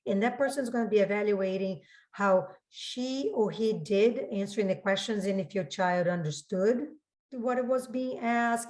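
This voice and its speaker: A woman with a mid-range voice speaks as a school registrar fielding questions. Her delivery is informal and explanatory, and she often restates a point to make it clear. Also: medium pitch, darkish tone. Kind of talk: informal spoken explanation in a public Q&A.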